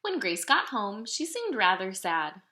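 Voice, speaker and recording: A woman reading a children's picture book aloud in an expressive, dramatic storytelling manner. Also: mid-range voice, a little slow, slight background noise. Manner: animated, dramatic storytelling delivery. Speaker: storybook reader. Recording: roomy, echoing room sound.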